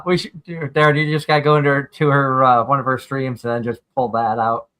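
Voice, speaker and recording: A man's voice: medium pitch, flat delivery, quick pace, pushed and bright. A man from Massachusetts, hosting a casual radio chat and rambling through anecdotes with repeated words. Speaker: radio show host. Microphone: streamed call mic.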